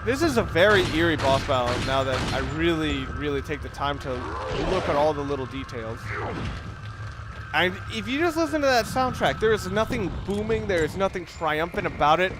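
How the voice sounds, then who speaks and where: A man's voice: fairly high pitch, sing-song, moderate pace, noisy background. A man giving casual, analytical video game commentary, rambling and correcting himself as he goes. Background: video game sounds.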